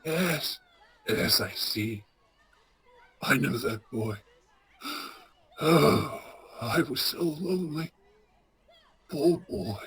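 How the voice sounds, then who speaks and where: A woman playing an anxious, sentimental old male miser in a radio play, stammering and halting with emotion. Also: low-pitched, expressive, a little hiss or hum, exaggerated voice. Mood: sorrowful, regretful.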